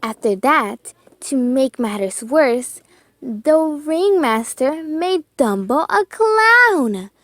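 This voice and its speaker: A woman reading a children's storybook aloud with expressive, deliberate phrasing and dramatic emphasis. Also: high voice, no echo.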